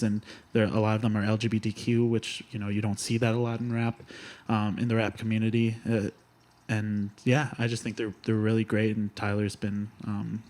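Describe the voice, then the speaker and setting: A man's voice: fairly low pitch, a little mellow. A young man, a musician guest on a podcast, talking enthusiastically and leaning heavily on "like" as filler. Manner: enthusiastic, filler-heavy, says "like" constantly. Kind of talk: casual interview talk.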